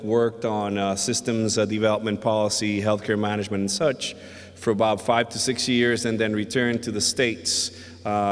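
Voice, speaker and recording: Guy from the dominican republic presenting at a conference, deepish voice, lots of uhs, long ballroom echo. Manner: measured, hesitant delivery with many filler uhs. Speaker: invited conference speaker, emergency physician. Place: hotel ballroom at a summit. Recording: long reverb of a large ballroom.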